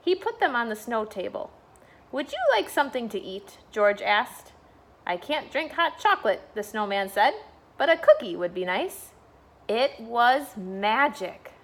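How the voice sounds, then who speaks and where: A woman's voice: on the high side, very animated, measured pace. A woman, a school staff member, reading a bedtime storybook aloud for children.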